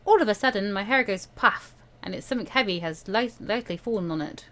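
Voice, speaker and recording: A woman hosting a let's play, reading a visual novel aloud in an expressive, playful, dramatic way. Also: medium pitch, a little fast.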